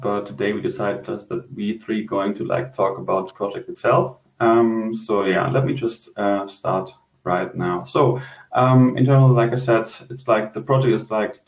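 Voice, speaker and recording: A man's voice: lowish voice, vocal fry. Distant, roomy man rambling through a chat. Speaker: host and crypto project lead. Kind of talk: casual group Q&A talk. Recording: roomy, distant, dull online-call audio.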